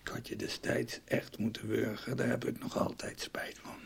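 An actor playing a sick, bitter old man in a radio soap, speaking with a husky, taunting, drawn-out delivery. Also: lowish voice, level tone, whispered, heavily acted. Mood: resigned, menacing. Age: older. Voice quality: gravelly, husky. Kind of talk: 1990s radio soap acting.

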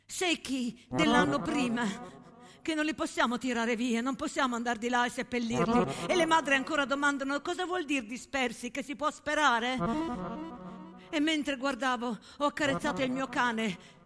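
An actress playing a haunted young soldier of the First World War, recounting horrors in an intense, intimate theatrical monologue with dramatic pauses. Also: female voice, sits high, a bit bright. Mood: anguished, urgent. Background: accordion music.

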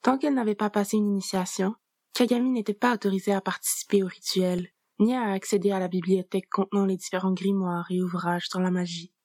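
Woman calmly reading a story aloud, dry close sound. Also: medium pitch, fairly quick, no room sound. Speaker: narrator.

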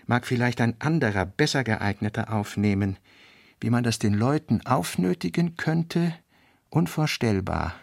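A man reading an author's fragmentary working notes for a radio play, slowly and deliberately. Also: mid-range voice, expressive, gravelly, no echo, studio quality. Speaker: reader.

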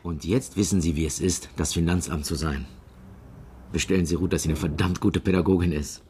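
An actor playing a curt, formal tax office official in a radio drama. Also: male voice, deep voice, fairly even intonation, a little fast, darkish tone, pitched below the performer's own voice, close to an everyday voice. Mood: stern. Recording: radio drama studio with music and noise.

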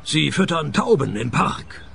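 A dry, laconic private eye in a radio play. His creaky voice moves widely in pitch as he tells his case deadpan. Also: measured pace, exaggerated voice. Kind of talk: scripted radio play narration and dialogue.

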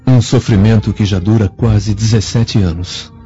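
An enigmatic, courteous man of hidden passion in a Brazilian Portuguese radio drama. His voice is lowish and dark, the wording formal and ornate, on a slightly dull recording with music behind. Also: fairly even intonation, moderate pace, husky. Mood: solemn, courteous. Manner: formal, ornate, theatrical delivery.

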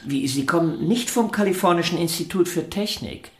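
An actor playing an effusive, reverent and easily flustered violinist in a radio drama, speaking courteously and with some hesitation. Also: male voice, a little high, normal speed, reverberant, natural voice. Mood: puzzled, courteous.

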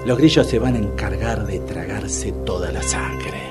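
A man's voice: lowish voice, over loud music, lightly acted. An actor playing a gloomy, self-pitying Argentine man who mocks and menaces theatrically in a comic audio drama, with a Rioplatense accent. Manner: dramatic, teasing, drawn-out menace.

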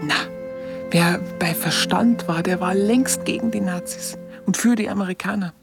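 An actress playing a candid Munich woman recalling her memories in a radio play, halting and musing. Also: mid-range voice, lightly acted. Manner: halting, musing, breathy phrase endings. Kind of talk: radio play narration as spoken recollection. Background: music.

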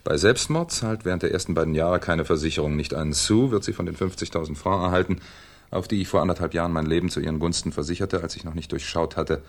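An actor playing a shrewd, ironic French police commissioner in a 1970s German radio crime drama, with a theatrical, needling manner. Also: male voice, low voice, fast. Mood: reading out gravely.

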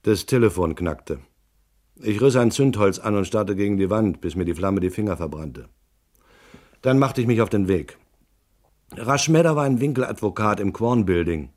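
A man's voice: fairly low pitch, animated, moderate pace, a little mellow. An actor playing a hardboiled, laconic private detective in a radio drama, narrating his case tersely and dryly. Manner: terse, dry, unimpressed.

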